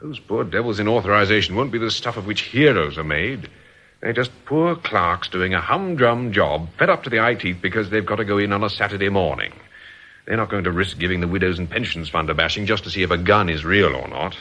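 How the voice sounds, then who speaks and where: A calculating male gang leader in a radio play. His voice is fairly low yet crisp-edged and forceful, swinging freely in pitch as he lays things out with precise self-assurance. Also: lively intonation, brisk, exaggerated voice. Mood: assured, persuasive. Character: calm, calculating male gang boss planning a fraud. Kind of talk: radio drama acting.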